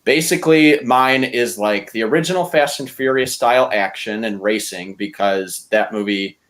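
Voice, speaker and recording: A man's voice: on the low side, moderate pace, a bit bright. A man speaking in a casual debate style as a podcast contestant, rambling and opinionated as he argues his case. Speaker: podcast contestant pitching movie ideas.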